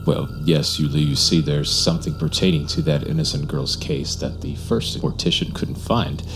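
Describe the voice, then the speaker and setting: An actor playing a suave, insistent homicide detective in an audio drama, formal and smoothly polite. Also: male voice, extremely low pitch, fairly even intonation, moderate pace. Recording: music under the voice.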